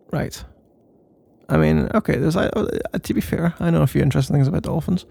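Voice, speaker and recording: A man's voice: fairly high pitch, moderate pace, gravelly, mellow, slightly rough, no room sound. A man doing a casual, rambling ASMR boyfriend roleplay in a playful, mock-boastful and meandering way.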